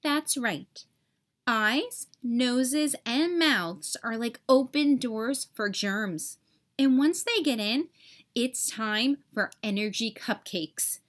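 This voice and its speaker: A woman reading a children's storybook aloud in an expressive, deliberate way with dramatic emphasis. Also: fairly high pitch.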